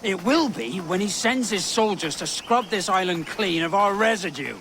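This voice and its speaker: Tired cautious pirate, slightly high voice, eloquent, lots of outdoor noise, game. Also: male voice, expressive, moderate pace, fairly bright tone. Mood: disillusioned. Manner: sober, eloquent. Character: weary, cautious, disillusioned male pirate elder statesman. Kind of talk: video game cutscene acting.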